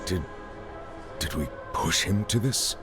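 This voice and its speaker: Extremely deep-voiced, brooding fantasy warrior. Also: male voice, lively intonation, slow pace. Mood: troubled. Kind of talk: dramatic video game acting. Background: cinematic background music.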